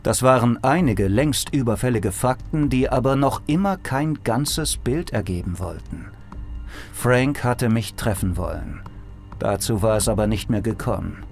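An actor playing a weary, sardonic detective who narrates his own thoughts in a dry, measured way in an audio drama. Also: medium pitch, lively intonation, a little mellow. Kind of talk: scripted first-person audio drama narration. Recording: music behind the voice. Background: music.

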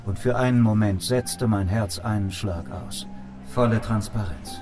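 Man acting world-weary low-voiced noir detective over music. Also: unhurried, natural voice. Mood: pleading, earnest. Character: down-on-his-luck male noir detective, wry and desperate. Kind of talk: first-person noir audio drama narration and dialogue. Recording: music playing behind the voice.

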